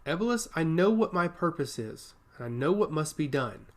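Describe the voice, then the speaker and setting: A man gives voice to a female ship captain in a fantasy story. The pitch is fairly high and varied. She is solemn, calm and loyal, with steady resolve. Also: normal speed.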